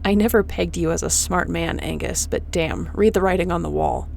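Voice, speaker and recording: A woman plays a hard-edged space captain with a lowish voice and plenty of creak. She is commanding, sardonic and protective in this audio roleplay, with faint noise behind her. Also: normal speed, darkish tone. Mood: defiant. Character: tough, defiant, protective female starship captain. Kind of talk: sci-fi audio roleplay acting.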